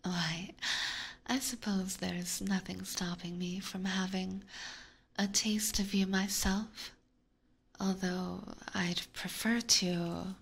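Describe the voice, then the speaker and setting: A woman's voice: medium pitch, fairly even intonation, slow pace, creaky, slightly rough, exaggerated voice. A woman playing a haughty, teasing, predatory vampire in an ASMR roleplay, whispering breathily with formal, condescending diction close to a binaural microphone. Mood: seductive, indulgent.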